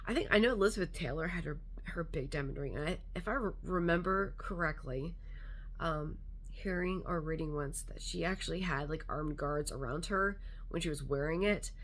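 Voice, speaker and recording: Woman, stuffy nose, nasal, low voice, casual rambling vlog chat. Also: moderate pace, slightly rough, rough recording. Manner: chatty, rambling, many fillers. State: congested, nasal. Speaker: vlogger.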